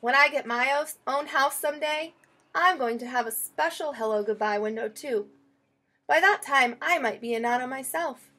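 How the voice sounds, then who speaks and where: A woman reading a children's picture book aloud in an expressive, storytelling way. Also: sits high, moderate pace. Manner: expressive, acts out quoted lines.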